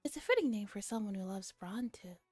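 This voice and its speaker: A woman streamer with a soft, breathy, often whispering voice, reading a story and chatting casually in a relaxed ASMR style. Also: mid-range voice, brisk, no echo, faint music behind. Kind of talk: ASMR visual novel read-aloud with casual chat.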